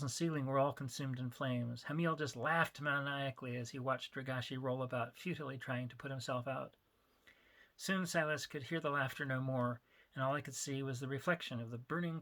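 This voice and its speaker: A man narrating his own story as an author, in an expressive, emphatic dramatic reading style. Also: medium pitch, moderate pace.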